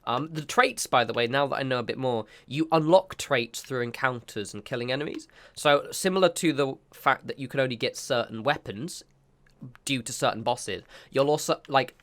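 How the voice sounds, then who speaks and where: A man's voice: medium pitch, normal speed, fairly bright tone. A man casually commenting on a game he is playing, sniffly from a recent cold, rambling and correcting himself as he goes. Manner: rambling, self-correcting, chatty asides. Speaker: gaming channel host.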